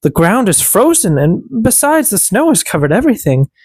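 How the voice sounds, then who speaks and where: A storyteller voicing a gentle, mistreated young girl with a meek, plaintive manner in a fairy tale. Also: medium pitch, big pitch swings, normal speed, slightly rough, dry recording, voice pushed up. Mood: dismayed, pleading.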